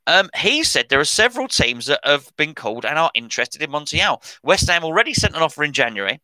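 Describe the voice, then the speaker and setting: A man's voice: mid-range voice, sharp tone, clear, resonant tone, dry recording, rough recording. Chatty middle-aged man. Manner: chatty, rambling, self-correcting. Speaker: football podcast host. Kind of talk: casual commentary on transfer news.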